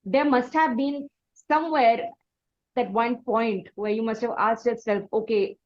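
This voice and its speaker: A woman's voice: fairly high pitch, a little slow, highs cut off. A woman with an Indian accent interviewing conversationally, reflecting in long, wordy sentences with hesitant phrasing.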